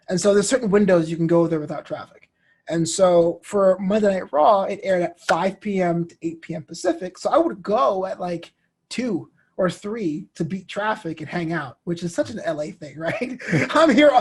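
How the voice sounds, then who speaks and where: A man in his thirties telling stories as an interview guest, expressive and inclined to act out what people said. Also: sits high, husky. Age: 30s. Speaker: guest, comedian and show host. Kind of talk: animated interview storytelling.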